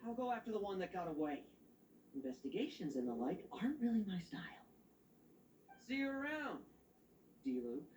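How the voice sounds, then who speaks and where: An actor playing a cocky young monster hunter in a video game, flippant and taunting. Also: male voice, sits high, big pitch swings, moderate pace, long pauses, darkish tone. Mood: dismissive. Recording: roomy distant game audio with noise.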